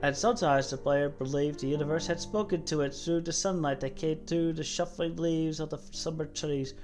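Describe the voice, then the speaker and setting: Lady doing a low solemn god storyteller from a game, measured but dramatic, music behind. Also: darkish tone, close to an everyday voice. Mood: solemn, reverent. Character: low-voiced godlike cosmic being, solemn storyteller. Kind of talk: dramatic reading of game dialogue.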